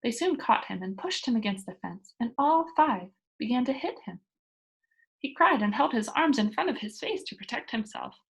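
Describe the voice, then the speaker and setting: A woman reading a children's storybook aloud as an expressive narrator. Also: a little high, moderate pace, vocal fry, breathy edge, poor audio. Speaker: storybook reader.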